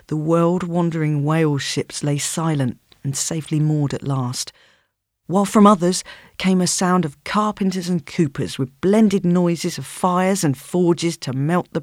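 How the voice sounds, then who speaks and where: A narrator reading literary fiction aloud as an audiobook, in a measured, expressive storytelling manner with deliberate phrasing. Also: mid-range voice, no echo, clean studio sound. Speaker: audiobook reader.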